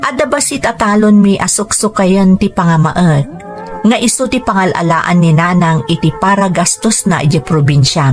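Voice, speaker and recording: A woman hosting a story programme, reading out scripted narration and greetings. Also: fairly low pitch. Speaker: radio drama host and letter reader. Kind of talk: scripted narration with shout-outs. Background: background music.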